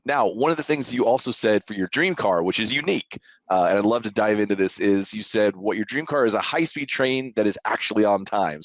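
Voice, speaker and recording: A man's voice: fairly low pitch, no room sound. A man hosting an interview show, upbeat and chatty. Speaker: podcast host. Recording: dry, dull lo-fi audio.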